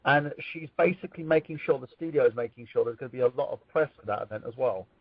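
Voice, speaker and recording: Male regular on a podcast, husky and blunt, sharp opinions, dry lo-fi recording. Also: mid-range voice, quick pace, no room sound. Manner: blunt, swears freely. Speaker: show regular. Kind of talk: casual chat. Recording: dull, dry lo-fi sound.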